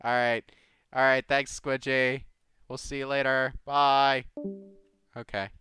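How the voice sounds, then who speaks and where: A man's voice: medium pitch, little pitch movement, slow pace, bright, forceful tone, faint music behind. A man hosting a live Q&A podcast in a casual, informal way, reading out questions and commenting loosely. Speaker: host of a live community Q&A show.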